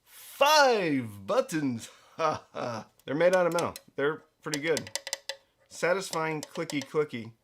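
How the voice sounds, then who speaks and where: A man's voice: fairly high pitch, sing-song, slow, a bit bright. A man presenting a product review in a casual, conversational way, with emphatic stresses and playful asides. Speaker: reviewer and host of a budget audio gear channel.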